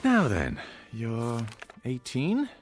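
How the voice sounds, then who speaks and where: An actor playing a genial, patronizing doctor in a radio drama, with a condescending, hesitant manner. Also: male voice, medium pitch, wildly swooping pitch, extremely slow, a little hiss or hum, full character voice. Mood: reassuring, patronizing. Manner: chuckling, condescending, hesitant asides.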